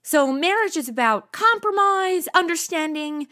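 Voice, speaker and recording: A woman's voice: very high-pitched, expressive, measured pace, sharp tone, full character voice. An actress playing a sassy, slangy modern young woman with a sarcastic streak in a comedy audio drama. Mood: wry.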